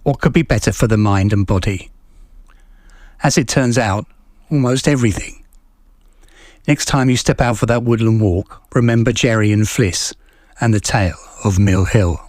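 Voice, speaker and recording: A man's voice: on the low side, normal speed, long pauses, darkish tone, dry recording, studio quality. A man announcing a horror story series in a scripted introduction. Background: faint music.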